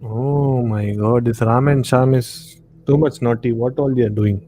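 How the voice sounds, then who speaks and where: A man with an Indian accent hosting a casual live discussion, explaining in a halting way and checking that he is understood. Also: medium pitch, fairly even intonation, warm tone, faint music behind. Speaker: live stream host. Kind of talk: casual discussion of horror films and the supernatural.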